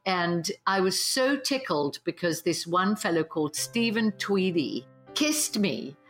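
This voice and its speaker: A woman's voice: fairly low pitch, animated, measured pace, faint music behind. A woman with an English accent, casually and reflectively sharing personal memories. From England. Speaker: discussion participant.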